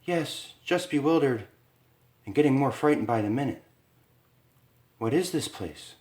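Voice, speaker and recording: A man's voice: mid-range voice, expressive, measured pace, a little mellow, echoey room, voice pushed up, close to an everyday voice. A man voicing a frightened young woman trapped in a haunted house, breathless and pleading.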